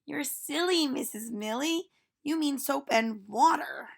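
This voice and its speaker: A woman voicing giggly young schoolchildren in a storybook, gently correcting their teacher with emphatic exclamations. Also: high-pitched, expressive, measured pace, gravelly, voice pushed up, heavily acted. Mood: amused correcting. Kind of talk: storybook read-aloud for children.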